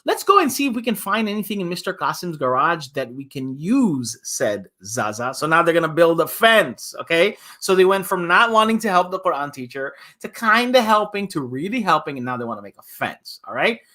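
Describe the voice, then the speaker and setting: A man leads a children's story time with a very animated, varied pitch. He reads aloud and casually explains things in simple words, like an imam talking to kids. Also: fairly quick, hardly any pauses.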